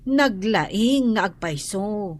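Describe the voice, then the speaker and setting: An actress playing a gentle, worried household servant in an Ilocano radio drama, speaking soothingly and with deference. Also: female voice, mid-range voice, lively intonation, slow pace. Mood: worried, deferential.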